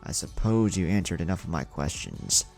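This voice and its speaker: A man's voice: deep voice, wildly swooping pitch, normal speed, gravelly, darkish tone, faint music behind, exaggerated voice. A man voicing a proud, prickly male clan warrior in a ninja story, terse and disdainful. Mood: thoughtful. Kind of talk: fan fiction story reading.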